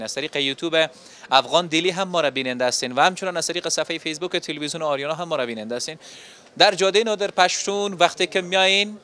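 A man's voice: a little high, pushed and bright, smooth. A young man with an Afghan Dari accent, reporting and interviewing on location for television with a handheld microphone. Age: young adult. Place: open-fronted electronics shop on a market street.

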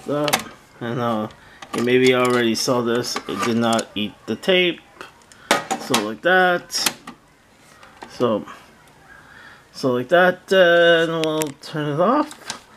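A man giving a casual, halting product demonstration as a seller, often repeating himself, recorded indoors on a handheld camera. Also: mid-range voice, background noise. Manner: halting, repetitive, plain-spoken. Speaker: online seller demonstrating an item. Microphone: handheld camera, speaker off screen behind it.

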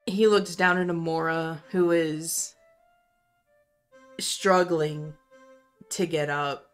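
A woman running a tabletop roleplaying game as game master, narrating with slow, dramatic storytelling. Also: low voice, steady tone, smooth, faint music behind.

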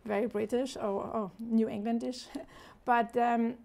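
A woman's voice: on the high side, level tone, a little slow, creaky, darkish tone. A woman from Denmark with a Danish accent, speaking as a guest in an earnest studio interview, with many fillers and restarts. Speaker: charity founder, guest. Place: TV studio set.

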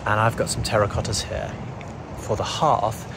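A man's voice: lowish voice, level tone, normal speed, creaky, background noise. A man in his thirties chatting playfully to camera about renovating an old house. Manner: chatty and playful, laughs often. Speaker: home renovation vlogger. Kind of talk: casual chat to camera. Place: bare rooms of an old house under renovation. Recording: echoey empty room, background music.

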